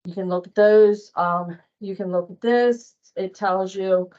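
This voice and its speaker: A woman's voice: medium pitch, steady tone. Woman trainer on muffled meeting audio. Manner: plain-spoken, halting, conversational. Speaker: staff trainer on invoicing. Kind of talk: informal training walkthrough. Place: online meeting with screen share.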